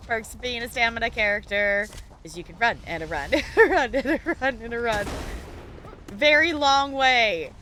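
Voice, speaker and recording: A woman's voice: fairly high pitch, normal speed, pushed and bright, slight background noise. A woman chatting casually on a gaming livestream, playful and self-deprecating, with theatrical exclamations. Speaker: live streamer.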